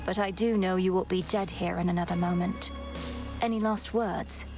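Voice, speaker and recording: A woman's voice: fairly low pitch, steady tone, moderate pace, over music, lightly acted. Woman playing a defiant young underworld princess. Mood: cold resolve. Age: young adult. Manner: solemn, resolute, formal. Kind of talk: theatrical video game heroine acting.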